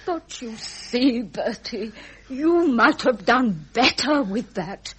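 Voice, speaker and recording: A woman's voice: fairly high pitch, expressive, slight background noise. An actress playing a stern, grieving old British queen and reproachful mother in a radio drama, formal and imperious. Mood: disappointed, reproachful. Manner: imperious, measured, formal, emotionally wounded.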